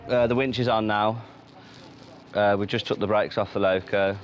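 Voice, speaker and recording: Flat, low-voiced man explaining work outdoors. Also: moderate pace. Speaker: haulage crew worker. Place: outdoors in a rail yard. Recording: muffled outdoor yard, faint noise.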